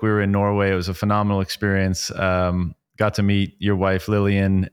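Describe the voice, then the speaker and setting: A man's voice: on the low side, clear, resonant tone. A man hosting a podcast, reading a prepared intro and then chatting politely with his guest, with some fillers. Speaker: podcast host. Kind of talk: scripted intro then casual interview.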